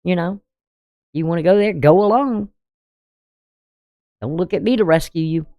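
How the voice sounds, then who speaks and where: A woman with a naturally deep, low voice talks through a daily astrology reading in a blunt, conversational way, often tossing in "you know". The sound is dry and close, with no room echo. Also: normal speed, warm tone. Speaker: astrology channel host.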